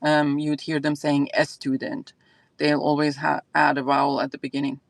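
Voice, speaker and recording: A woman's voice: sits low, completely flat delivery, normal speed, creaky, no echo. A woman from Iran with an Iranian accent, whose first language is Azeri, hosting a casual livestream chat and thinking aloud with lots of fillers. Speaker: fragrance channel host.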